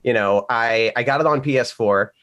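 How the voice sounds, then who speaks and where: A man with a mid-pitched voice chats casually as a voice actor on an online panel. He tells his stories in an animated way, slipping into little acted-out asides. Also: moderate pace, muffled recording. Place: remote video call.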